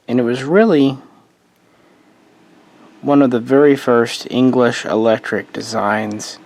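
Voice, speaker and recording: A man's voice: medium pitch, slow pace, darkish tone. A man losing his voice, casually narrating a history explainer as host, rambling and correcting himself with offhand asides.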